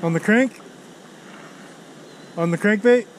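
A man's voice: high voice, takes it slowly, low-quality recording. An American man chatting casually as he fishes outdoors, recorded on a body-worn action camera. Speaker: fishing vlogger. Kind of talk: casual chat while fishing. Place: outdoors beside an urban river. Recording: faint outdoor city noise.

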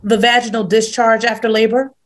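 A woman's voice: on the high side, little pitch movement, brisk, noisy background, close to an everyday voice. Woman playing an unruffled, dismissive older nurse. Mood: calm, dismissive. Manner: matter-of-fact, placating. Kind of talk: table read of a film script.

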